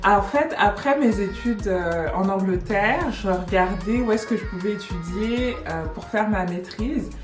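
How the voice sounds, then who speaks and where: A French woman, director of an immigration agency, explaining things in a relaxed casual interview with many fillers. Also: mid-range voice, unhurried, few pauses. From France. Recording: echoey room with music behind.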